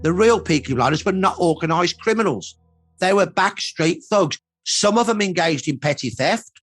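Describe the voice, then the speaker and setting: A man's voice: sits high, expressive, normal speed, a bit bright, no room sound, soft background music. A middle-aged or older man from Birmingham, England, speaking as a historian in a documentary interview, in emphatic, punchy, repeated phrases.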